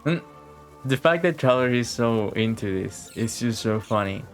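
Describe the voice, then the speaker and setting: A male game streamer commenting casually on a playthrough. His medium-pitched voice is slangy and peppered with swearing, and he echoes phrases from the game. Faint game music sits behind him. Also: expressive, normal speed.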